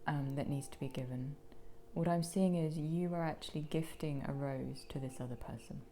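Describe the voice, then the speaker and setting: Croaky low-voiced woman doing a tarot reading, gentle and soothing, bit of faint hiss behind. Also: moderate pace. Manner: gentle, soothing, drops into whispers. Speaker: tarot card reader. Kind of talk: pick-a-card tarot reading.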